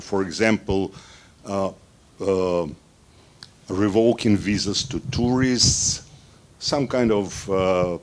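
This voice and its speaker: Slow-talking guy in the crowd asking a question, lots of pauses. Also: on the low side. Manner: halting, searching for words. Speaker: audience member asking a question. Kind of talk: audience question. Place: event hall with live audience.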